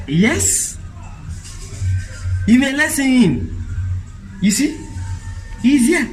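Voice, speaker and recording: A woman with a Nigerian accent playing a composed, cryptic member of a powerful cabal in a radio drama, measured and patient. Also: high-pitched, big pitch swings, faint music behind, full character voice, rough recording.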